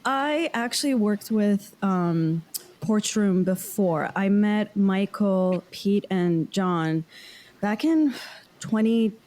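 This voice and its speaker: A woman speaks slowly in a mid-pitched voice, as an actress being interviewed. Her delivery is casual conversation, looking back on her work. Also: animated, darkish tone. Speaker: actress, cast member.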